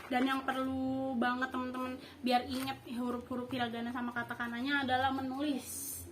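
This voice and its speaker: A young woman giving an informal language lesson, explaining haltingly and often correcting herself as she goes. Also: sits high, completely flat delivery, music in the background. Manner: halting, improvised explaining with frequent self-corrections. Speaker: host teaching basic Japanese.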